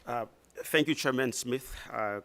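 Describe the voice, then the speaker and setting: A man in his thirties with a Congolese accent, reading formal prepared testimony as an expert witness in a measured way. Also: medium pitch, fairly bright tone. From eastern Democratic Republic of the Congo. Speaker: expert witness at a legislative hearing.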